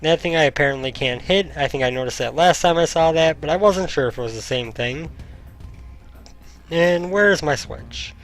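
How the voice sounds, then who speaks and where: A young man commenting casually while playing a video game, thinking aloud with many ums, his voice getting slightly hoarse. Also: on the high side, normal speed, fairly bright tone. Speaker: gaming YouTuber. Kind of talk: casual let's-play commentary. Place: home room, indoors. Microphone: headset mic. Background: faint game music.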